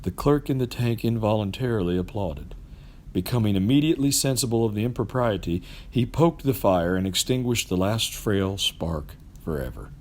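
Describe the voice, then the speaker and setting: A man reading a classic story aloud as an expressive storybook narrator. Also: on the low side, normal speed. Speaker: amateur storybook reader.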